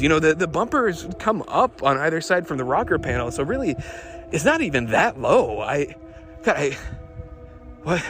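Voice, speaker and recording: Man acting as halting, obsessed car builder. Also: a little high, fairly bright tone. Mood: shaky pride. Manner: halting, stammering, fixated. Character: obsessed, unravelling car builder leaving late-night recorded confessions. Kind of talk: halting confessional audio diary acting.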